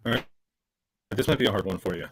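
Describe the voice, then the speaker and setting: A man's voice: fairly low pitch, quick pace, a bit bright, a little hiss or hum. A man hosting a music podcast, asking a guest brief, direct questions. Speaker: podcast host. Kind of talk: interview questions.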